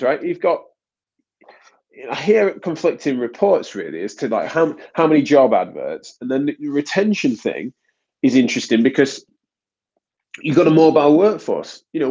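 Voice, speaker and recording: A man's voice: fairly high pitch, lively intonation, moderate pace, a little mellow, husky. A man hosting a podcast, a physiotherapist talking casually in a nasal, stuffed-up voice, rambling with frequent restarts. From United Kingdom. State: congested, stuffy nose. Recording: dull lo-fi recording.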